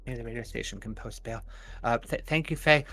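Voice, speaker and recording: A man's voice: mid-range voice, a little fast, sharp tone. A man playing a tabletop roleplaying game, chatting casually in character and often repeating his phrases. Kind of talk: casual improvised in-character chat. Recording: music behind the voice. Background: background music.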